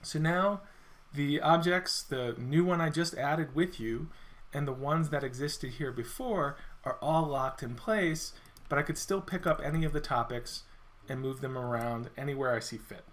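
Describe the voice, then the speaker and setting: A man walking through a software feature in a screen-recorded demo, explaining step by step, his voice a little worn as he clears his throat. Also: a little high, moderate pace. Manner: relaxed explanatory walkthrough with self-corrections. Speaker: software product presenter.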